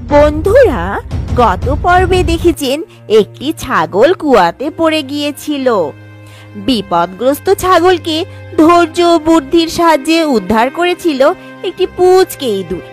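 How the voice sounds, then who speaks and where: A woman narrating a scripted children's story as storyteller. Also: high-pitched.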